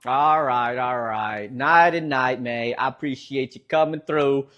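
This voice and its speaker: A man's voice: medium pitch, unhurried, a bit bright, muffled recording. A man with a Midwestern American accent streaming from home, rambling casually to his chat and laughing often.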